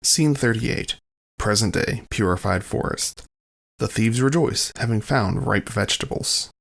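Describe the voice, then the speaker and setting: A man narrates an audio drama, formally announcing scenes. His voice is fairly low with plenty of gravelly fry. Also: unhurried, fairly bright tone.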